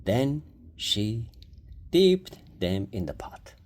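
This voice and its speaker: A story reader giving a deliberate, expressive read-aloud of a children's storybook. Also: mid-range voice.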